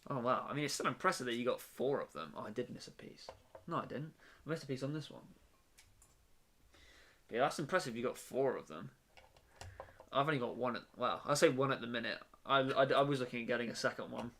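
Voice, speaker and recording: A man's voice: medium pitch, animated, fairly quick, fairly bright tone, a little hiss or hum. A young man chatting casually on a live stream from his bedroom while he builds, rambling with lots of fillers and restarts.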